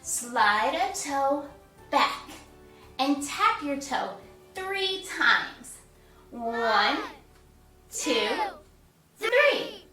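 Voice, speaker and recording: High, very animated woman leading children's story time. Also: extremely slow, pauses often. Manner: animated, singsong, instructing young children. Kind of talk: children's read-aloud and movement lesson. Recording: roomy echo, distant mic, music bed. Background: music.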